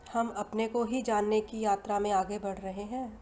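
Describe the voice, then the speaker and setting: Mellow Indian woman guiding meditation. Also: medium pitch, fairly even intonation, slight background noise. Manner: soothing, unhurried, with long pauses between phrases. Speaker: guided meditation and hypnosis leader. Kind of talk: slow guided meditation narration. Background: soft meditation music.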